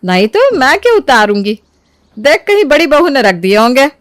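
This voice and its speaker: Scolding older mother-in-law , played by a woman, fairly high voice, weary but in charge, dry cartoon dialogue. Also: very animated, normal speed, no echo. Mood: dismissive. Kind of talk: animated family story dialogue.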